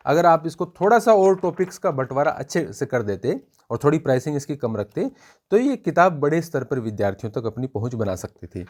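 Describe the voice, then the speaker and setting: A man giving an informal spoken review for students as an exam coach, explaining in a measured way and pointing out examples. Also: fairly high pitch, big pitch swings, darkish tone.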